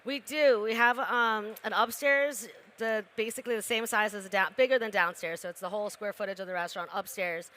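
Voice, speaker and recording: Young woman co-owner, bright tone, quick laughing chat, faint noise. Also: medium pitch, steady tone. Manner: quick, laughing, many fillers. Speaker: restaurant co-owner. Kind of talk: casual interview chat.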